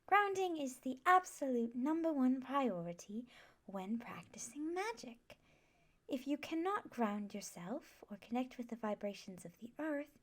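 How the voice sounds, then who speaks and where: A woman playing a gentle, scholarly magic professor in an ASMR roleplay, patiently instructing a class in a measured, reassuring way. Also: high-pitched, heavily acted. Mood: soft, reassuring.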